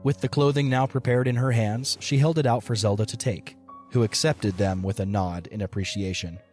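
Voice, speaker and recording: A man's voice: lowish voice, steady tone, moderate pace, a little mellow. A man reading scripted narration for an audio story. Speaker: narrator of a fan audio drama. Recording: music under the voice. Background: music.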